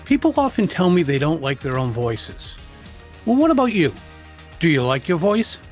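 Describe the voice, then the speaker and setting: A man hosting a public speaking podcast, reading a measured, clear introduction and asking his guest questions. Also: fairly high pitch, very animated, faint music behind. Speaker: podcast host. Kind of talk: scripted introduction and interview questions.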